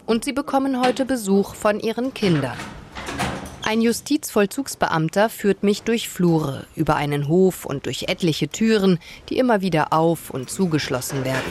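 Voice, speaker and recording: A woman's voice: mid-range voice, lively intonation, moderate pace, background noise. A woman reporter reading a scripted radio reportage.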